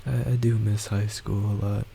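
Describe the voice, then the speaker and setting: A young man, a college student, talking in a quiet, soft voice about himself, hesitant and halting with many restarts and fillers, into a boosted desktop mic. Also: on the low side, little pitch movement, gravelly, slight background noise. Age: young adult. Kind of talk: rambling personal monologue. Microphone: desktop microphone with boosted gain.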